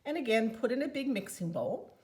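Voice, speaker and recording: A woman's voice: a little high, sing-song, fairly quick, darkish tone. A woman with a Newfoundland accent, chatting upbeat through a home cooking demonstration in her kitchen. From Newfoundland, Canada. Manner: chatty, upbeat, enthusiastic about flavor. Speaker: cooking product consultant.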